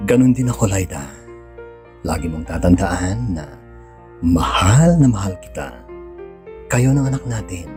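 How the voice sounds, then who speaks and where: An actor playing a devout, tender young husband and father in a sentimental radio drama, speaking gently and sincerely with a Filipino accent. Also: deep voice, expressive, measured pace, long pauses. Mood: tender, earnest. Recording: music bed under the voice.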